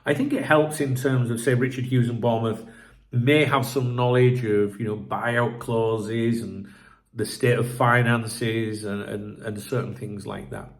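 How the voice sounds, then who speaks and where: A man's voice: mid-range voice, steady tone, measured pace. A man with a blocked nose hosting a casual football commentary video, rambling and frank, swearing freely. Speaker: football channel host.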